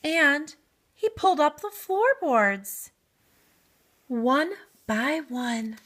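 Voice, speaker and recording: A woman narrates a children's storybook aloud. Her voice is fairly high and very animated, and she takes her time, giving an expressive reading at a slow pace. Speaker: storybook reader.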